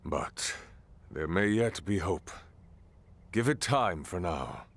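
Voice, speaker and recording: Slow, deep regal king. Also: animated, a bit bright, heavily acted. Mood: grave, measured. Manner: formal, stately, deliberate phrasing. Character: solemn, regal king and father, measured and authoritative. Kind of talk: video game cutscene acting.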